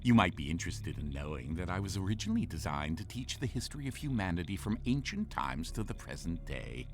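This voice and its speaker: An actor playing a pompous, condescending shipboard artificial intelligence in a game, theatrical and sarcastic. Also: male voice, low-pitched, very animated, a little fast. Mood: smug, measured. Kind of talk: scripted game voice pack acting.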